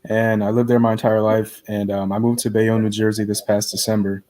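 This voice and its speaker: Lower-voiced man chatting casually. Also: little pitch movement, fairly quick, warm tone. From Queens, New York, United States. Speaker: panelist. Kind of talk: casual self-introduction.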